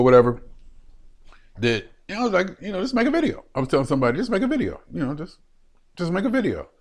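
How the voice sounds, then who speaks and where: Casting: man, late 50s, solo home vlogger, rambling and animated, repeats himself a lot. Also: mid-range voice. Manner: chatty and animated, laughing often, repeating phrases. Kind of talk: rambling solo vlog to a webcam. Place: his room at home. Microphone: webcam.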